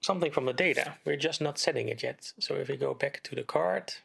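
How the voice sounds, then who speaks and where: A man giving a screen-recorded coding tutorial, explaining step by step in a relaxed way while he types. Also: medium pitch, a little fast, creaky, slight background noise. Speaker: game development tutor. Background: keyboard typing and mouse clicks.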